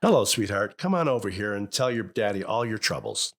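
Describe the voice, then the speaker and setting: Middle-aged American dad, 1950s radio sitcom, lively intonation, avuncular, easily exasperated. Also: male voice, lowish voice, brisk. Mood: reassuring. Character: good-natured, exasperated suburban father, husband of Margaret.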